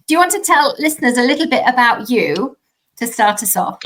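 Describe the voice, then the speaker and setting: A woman hosting her podcast, welcoming and questioning a guest with encouraging, drawn-out emphasis. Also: a little high, very animated, a bit bright. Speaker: podcast host.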